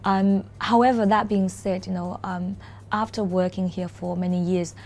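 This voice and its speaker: A woman in her thirties from China, a Mandarin native speaking English with a Chinese accent, answering interview questions thoughtfully, with frequent "um" pauses and restarts. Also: mid-range voice, steady tone, a little mellow. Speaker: corporate lawyer, interview guest. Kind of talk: relaxed interview conversation.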